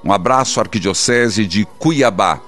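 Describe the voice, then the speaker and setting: A man, a priest hosting a call-in program, who counsels callers fervently and rises sharply in pitch when he exhorts them. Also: measured pace, fairly bright tone, faint music behind.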